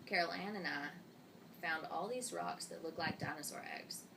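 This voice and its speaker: A woman's voice: lowish voice, normal speed, gravelly, voice pushed down. A woman voicing a friendly, earnest young schoolboy in a children's storybook. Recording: distant mic, loud noise.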